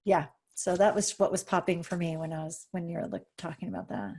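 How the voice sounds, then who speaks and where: A woman's voice: lowish voice, big pitch swings, a little fast, warm tone, slightly rough. A woman on a panel, musing aloud in loose, trailing phrases. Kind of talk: casual group discussion.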